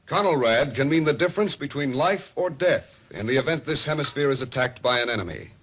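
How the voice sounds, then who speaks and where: A man announcing a mid-century radio public service programme in a formal, grave and deliberate manner. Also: mid-range voice, fairly bright tone.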